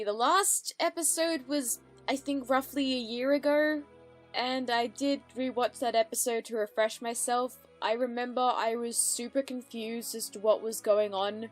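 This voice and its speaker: A woman giving a casual, rambling reaction commentary, thinking aloud as she watches. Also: fairly high pitch. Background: animated audio drama playing with music.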